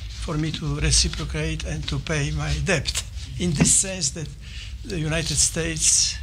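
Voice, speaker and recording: Halting older man with Polish accent. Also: on the high side, fairly even intonation, background noise. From Poland. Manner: halting, with many fillers and restarts. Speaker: visiting scholar presenting. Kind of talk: formal presentation to a small audience. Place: office meeting room.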